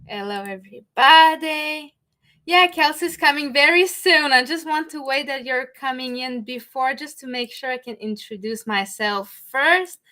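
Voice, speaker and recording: A woman's voice: high-pitched, unhurried, sharp tone. Upbeat Québécois French woman hosting a stream. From Montreal, Canada. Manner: upbeat, greets viewers, searches for words. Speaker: host. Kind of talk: live stream chat.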